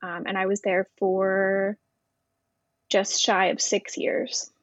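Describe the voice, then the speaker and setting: A woman's voice: medium pitch, little pitch movement, slow, no room sound. Woman chatting casually in slightly muffled audio. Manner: informal, explanatory. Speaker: podcast guest, Navy veteran. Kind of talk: casual interview chat.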